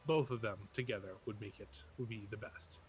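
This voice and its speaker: A man co-playing a game, explaining things casually with hesitant pauses and fillers. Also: fairly low pitch, slight background noise, low-quality recording. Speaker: co-player. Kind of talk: casual gaming chat.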